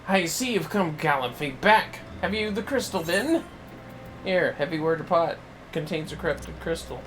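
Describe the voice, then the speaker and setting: A man's voice: fairly high pitch, moderate pace, fairly bright tone, slight background noise, voice pushed up, natural voice. A man voicing an effusive, self-important video game character in a florid, theatrical manner.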